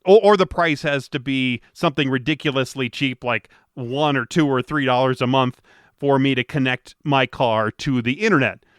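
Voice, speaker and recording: A man hosting a podcast in a casual, rambling way, full of restarts and fillers. His voice is smooth and clear, recorded close and dry with no room sound. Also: mid-range voice, moderate pace, dry recording. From Detroit, USA. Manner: chatty, rambling with many restarts. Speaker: podcast host. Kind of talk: casual interview chat.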